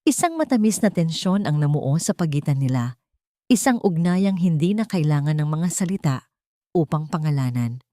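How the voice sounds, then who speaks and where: A woman's voice: lowish voice, warm tone, dry recording, clean studio sound. A woman with a Filipino accent narrating a scripted dramatic story as an audiobook narrator.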